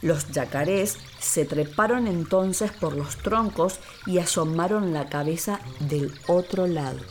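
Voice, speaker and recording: A woman's voice: lowish voice, a little slow, darkish tone, slight background noise. A woman giving scripted narration of a children's radio play as an actress. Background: music bed.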